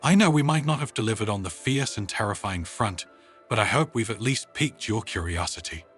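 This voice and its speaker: A man's voice: on the low side, expressive, a little fast, a bit bright, faint music behind. A man voicing a composed female team leader in a storybook, with a folksy, presenterly manner. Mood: upbeat, modest.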